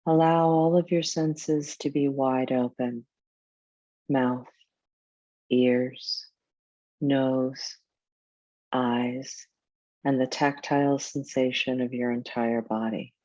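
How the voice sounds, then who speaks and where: Very slow, soft-toned woman guiding meditation. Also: a bass voice, little pitch movement, no echo, muffled recording. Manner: soothing, deliberate, measured phrasing with pauses. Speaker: yoga nidra teacher. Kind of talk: guided meditation narration.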